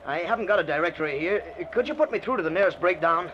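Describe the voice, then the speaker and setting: High-voiced, nervous stranded man. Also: expressive, rapid-fire. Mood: nervous, polite. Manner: hesitant, stammering. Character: nervous stranded husband alone in a haunted house. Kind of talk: old-time radio suspense acting. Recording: muffled, noisy old radio recording.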